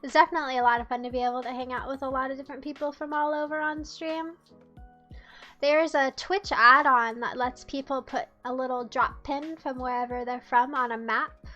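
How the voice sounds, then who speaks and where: A woman's voice: high-pitched, sharp tone, muffled recording. A young woman from the United States streaming live, chatting casually and teasing her chat in a playful way.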